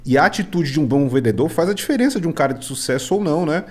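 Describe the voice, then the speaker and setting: A man's voice: on the high side, animated, quick pace, a little mellow. A Brazilian man hosting a podcast in casual chat, often tagging his points with little questions seeking agreement. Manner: constantly checks agreement with short tag questions. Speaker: podcast host.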